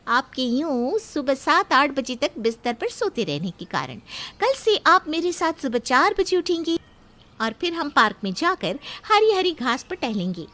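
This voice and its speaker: Cartoon story role: woman as bossy young policewoman daughter-in-law, very high, bright, animated, firm. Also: unhurried, full character voice. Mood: firm, upbeat. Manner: firm, instructing. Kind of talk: acted cartoon story dialogue.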